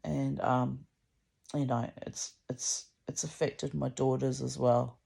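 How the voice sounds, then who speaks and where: A middle-aged woman with a deep, gravelly voice, rambling candidly in a sweary, dramatic, confessional monologue. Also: flat delivery, takes it slowly, dark tone. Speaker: personal vlogger.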